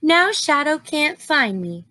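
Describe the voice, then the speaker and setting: A stubborn, easily annoyed storybook bear, voiced by a woman reading aloud. The voice is bright and on the high side, with slow, emphatic delivery that suits a children's tale. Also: very animated, dry recording, full character voice. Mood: scheming. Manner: emphatic, exclaiming and sighing.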